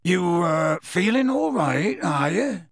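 A man voicing a gruff, kindly giant groundskeeper in a storybook reading, with halting, folksy, colloquial speech. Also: mid-range voice, sing-song, fairly bright tone, no echo, clean studio sound, full character voice. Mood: hearty, concerned.

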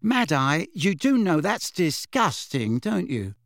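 A man's voice: sits high, sing-song, measured pace, vocal fry, no echo, studio quality, pitched above the performer's own voice, heavily acted. A man voicing a cheeky, clumsy young witch in an audiobook, with a chatty, blunt, colloquial manner. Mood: bright, chatty. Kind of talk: audiobook character voice.

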